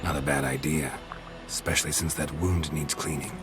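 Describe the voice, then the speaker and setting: A grim monster hunter who talks in short, blunt, dry sentences. The voice sits extremely low. Game music plays clearly behind him. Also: male voice, steady tone, normal speed. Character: grim weary monster-hunter man, terse. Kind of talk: video game cutscene acting.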